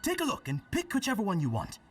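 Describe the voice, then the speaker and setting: A woman's voice: mid-range voice, huge pitch swings, fast, far from the mic. A woman voicing a cheerful, playful young festival candy vendor in an anime video game.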